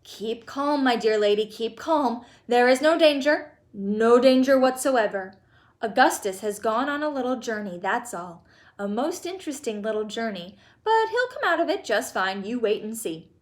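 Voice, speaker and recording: A woman's voice: a little high, normal speed, lightly acted. A woman reading a storybook, voicing a fussy, eccentric man who makes chocolate and talks in emphatic, repeated exclamations. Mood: breezy reassurance. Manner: pleading, exclamatory, emphatic repetition. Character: fussy, excitable, eccentric male chocolate maker.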